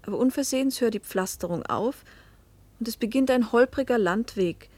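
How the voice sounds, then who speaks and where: An actress playing a pensive middle-aged wife and mother, recounting a fateful journey in measured literary narration that sometimes sinks toward a whisper. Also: fairly high pitch, a little mellow, no room sound, lightly acted. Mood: somber, descriptive. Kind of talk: literary first-person narration in a German radio play.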